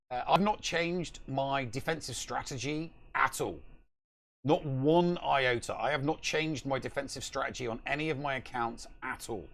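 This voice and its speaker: Middle-aged male gaming podcast co-host. Creaky mid-range voice, casual, emphatic, repetitive for stress. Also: mid-range voice, pushed and bright. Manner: emphatic, repeats himself for stress. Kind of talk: casual gaming chat on a live stream. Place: indoor room at home, on a video call.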